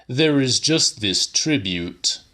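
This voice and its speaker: A man's voice: medium pitch, animated, slow, sharp tone, voice pushed up. A man voicing a crotchety, impatient old man, blunt and weary, in an audiobook. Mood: probing.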